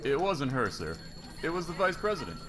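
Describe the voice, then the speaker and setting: A man's voice: fairly high pitch, sing-song, a little fast, crowd noise. A man acting as a deferential young new employee in an audio roleplay, hesitant and polite. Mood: nervous, polite.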